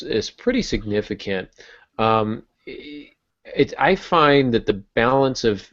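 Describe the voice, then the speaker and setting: A man co-hosting a writing podcast, explaining things in an informal, conversational way. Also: on the low side. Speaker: podcast co-host. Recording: roomy, slightly dull podcast recording.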